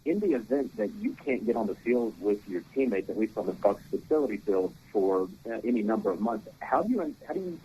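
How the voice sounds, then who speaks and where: A male sports reporter politely asking a question on a press call, speaking in a halting way. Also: on the low side, sing-song, warm tone. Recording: noisy conference phone line.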